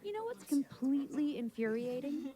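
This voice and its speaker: A woman's voice: high-pitched, big pitch swings, normal speed, crowd noise. A woman playing a talkative stage magician in a naturalistic audio drama, speaking earnestly and with emphasis. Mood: exasperated.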